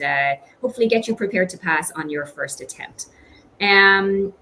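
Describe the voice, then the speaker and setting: Woman, middle-aged, lower voice, encouraging webinar host, faint noise on a video call. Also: a bit bright. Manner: encouraging, effusive with praise. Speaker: webinar host and recruitment director. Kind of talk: hosting an online question-and-answer session. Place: small home office indoors. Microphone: computer microphone on video call.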